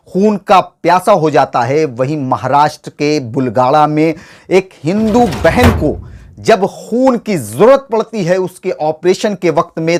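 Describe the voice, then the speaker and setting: A man's voice: a little high, darkish tone. A man hosting a political commentary show, speaking to viewers in an earnest, rhetorical and formal oratorical way. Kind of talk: scripted news commentary addressed to viewers.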